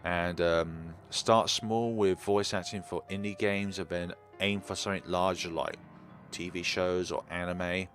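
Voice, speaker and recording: Calm low-voiced young male streamer. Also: measured pace, soft background music. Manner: calm, relaxed, low-key. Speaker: game streamer. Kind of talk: casual let's play chat.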